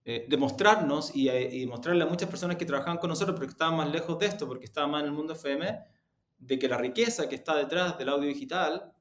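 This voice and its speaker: A man answering interview questions in a reflective, halting way, repeating words for emphasis. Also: on the high side. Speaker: executive interview guest. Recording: roomy, echoing sound.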